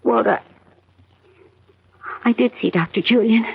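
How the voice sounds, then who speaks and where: Weary, high-voiced American housewife, forties. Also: female voice, a little slow, creaky, husky, exaggerated voice. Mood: weary, subdued. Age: 40s. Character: weary, bitter forty-one-year-old housewife and mother, pregnant. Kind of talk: 1970s radio drama acting. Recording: muffled vintage AM radio drama recording.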